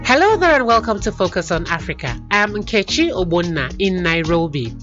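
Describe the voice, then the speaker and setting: A woman presenting a radio news program, reading a scripted report with a lively, clearly paced delivery. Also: fairly low pitch, moderate pace, dull audio, music in the background.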